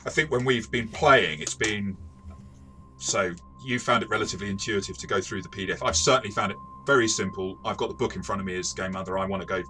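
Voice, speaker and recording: A man's voice: on the low side, fast talker, bright, forceful tone, soft background music. A man co-hosting a casual role-playing game review, talking in a hesitant way with many false starts.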